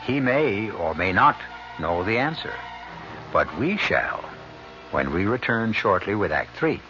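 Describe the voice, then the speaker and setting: Male mystery narrator, low and expressive, ominous delivery, muffled old broadcast with music behind. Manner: ominous, dramatic, deliberate. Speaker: host and narrator. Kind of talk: scripted radio mystery narration.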